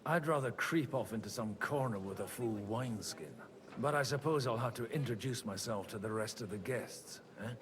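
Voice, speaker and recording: An actor playing a world-weary, wry middle-aged medieval priest in video game dialogue, grumbling drily. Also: male voice, mid-range voice, normal speed, crowd noise, lightly acted.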